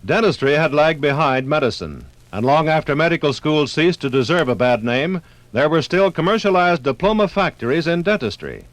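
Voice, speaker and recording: Formal, higher-voiced male documentary narrator. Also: animated, normal speed, no room sound. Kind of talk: scripted 1950s radio documentary narration.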